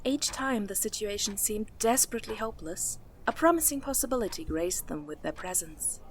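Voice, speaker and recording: A woman's voice: mid-range voice, expressive, fairly quick, slight background noise, close to an everyday voice. A woman narrating a fantasy audio drama as a scripted storyteller.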